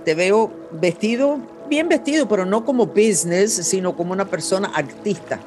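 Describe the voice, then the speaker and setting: A woman's voice: medium pitch, animated, moderate pace, music in the background. Podcast host woman. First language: English. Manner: rambling, meandering with restarts and fillers. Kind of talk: casual podcast conversation.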